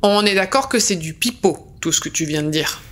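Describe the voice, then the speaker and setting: A woman's voice: medium pitch, expressive, fairly quick, close to an everyday voice. A woman playing a defiant younger sister in an audio comedy drama, accusing and disgusted. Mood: scornful. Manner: accusing, scornful.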